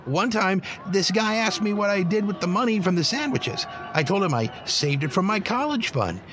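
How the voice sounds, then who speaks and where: A man's voice: sits high, expressive, moderate pace, noisy background. A male actor playing a chatty, proud, idealistic eleven-year-old boy activist in an audio drama, talking informally. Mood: chatty, excited. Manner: chatty, informal, youthful filler-laden talk.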